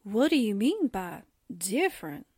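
Slow, swooping woman acting a reporter sidekick. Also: mid-range voice, sing-song, mellow, breathy edge, dry recording, studio quality. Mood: astonished, indignant. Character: astonished, outspoken newspaperwoman sidekick. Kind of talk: 1940s radio drama acting.

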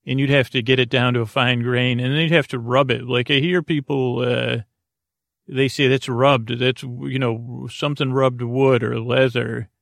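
A man hosting a bedtime podcast with a creaky voice, rambling in a lulling, hesitant, meandering way that keeps drifting into tangents. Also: medium pitch, little pitch movement, moderate pace, fairly bright tone, no room sound, clean studio sound. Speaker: podcast host. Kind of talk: rambling bedtime monologue for sleep.

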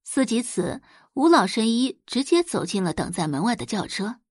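A woman's voice: on the high side, sing-song, moderate pace, a little mellow, breathy edge, no room sound, clean studio sound. A woman reading dramatic scripted narration as an audiobook narrator.

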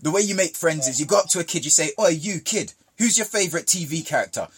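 A man's voice: fairly high pitch, lively intonation, fast, slightly rough. A man from Jamaica telling childhood stories in casual conversation, with emphatic repetition. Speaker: guest.

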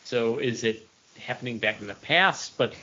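A man's voice: mid-range voice, normal speed, sharp tone, muffled recording. A man co-hosting a podcast, casually debating and puzzling things out with a questioning delivery. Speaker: podcast co-host reviewing comics.

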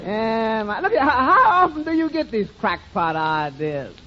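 Through clear hiss and a lively audience of an old radio show comes a skeptical male waiter. He grumbles sardonic, folksy wisecracks in a remarkably high, swooping voice. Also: measured pace, crowd noise. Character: skeptical tavern waiter, exasperated, wisecracking man. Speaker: comedy supporting player. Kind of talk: 1940s radio sitcom acting. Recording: muffled, noisy 1940s radio, audience laughter.

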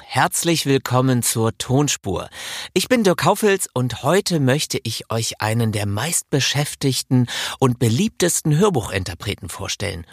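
A man with a husky, mid-pitched voice hosts an audiobook talk podcast. He presents and puts questions to a guest. The recording is close and dry, made in a studio. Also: no echo.

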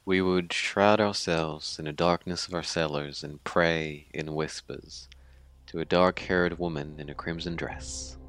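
Deep-voiced, haunted young survivor. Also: male voice, fairly even intonation, a bit bright. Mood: wry storytelling. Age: 20s. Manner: halting, informal, sweary, dramatic storyteller. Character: young Mexican man, haunted sweary survivor telling his tragedy. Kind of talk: confessional monologue in an audio drama.

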